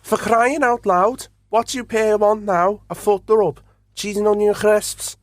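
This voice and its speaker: A man's voice: high voice, fairly even intonation, a little slow, no room sound. An actor, a man, playing a brash, exasperated police inspector with a blustering manner. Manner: blustering, irritable. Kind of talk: comedic radio drama acting.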